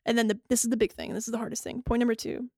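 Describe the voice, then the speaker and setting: A young woman co-hosts a casual faith podcast, explaining points one by one and laughing easily. Her voice is higher and gravelly with vocal fry. The recording is dry and close. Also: level tone, racing, no echo. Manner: warm, laughing, explains step by step. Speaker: podcast co-host.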